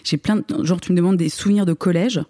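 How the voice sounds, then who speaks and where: A woman in her thirties from Lorraine talks as a guest on a podcast. Her voice is soft-edged and mellow, her speech informal and self-deprecating. It is recorded close, with almost no room sound. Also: lowish voice, quick pace, no echo. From Lorraine, France. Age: 30s. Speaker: podcast guest, video creator. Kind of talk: casual interview chat.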